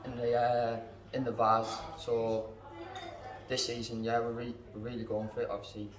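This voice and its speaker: A man's voice: mid-range voice, flat delivery, normal speed, background noise. A young man, a football team captain, answering post-match questions in a plain, matter-of-fact way. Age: young adult. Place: indoors in front of a club sponsor backdrop. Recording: echoey room, mic at a distance.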